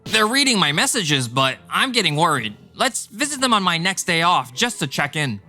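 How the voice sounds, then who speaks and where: A man's voice: high voice, big pitch swings, normal speed, sharp tone, faint music behind, heavily acted. A man voicing an arrogant, callous, easily irritated husband in a manga dub, dismissive and self-important. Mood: smug, casual. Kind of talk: dramatized manga dub dialogue.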